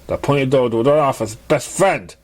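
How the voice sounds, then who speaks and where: Man, mid-pitched voice, amateur story narration, stumbling delivery, restarted words. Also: a little fast. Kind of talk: fan fiction narration.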